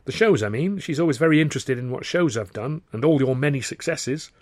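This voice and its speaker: An earnest young comedian, flustered and eager to please, as a male narrator puts him on. His voice jumps up high when he protests. It sounds slightly husky and dry, close to the mic. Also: lively intonation, a little fast, a little mellow, dry recording, natural voice.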